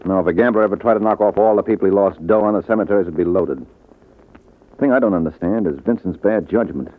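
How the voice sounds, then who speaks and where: Wry, low-voiced radio private eye. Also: male voice, quick pace. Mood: probing. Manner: wry, confident. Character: wisecracking, cocksure male private detective. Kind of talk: vintage radio detective drama acting. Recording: muffled narrow-band old radio, noisy.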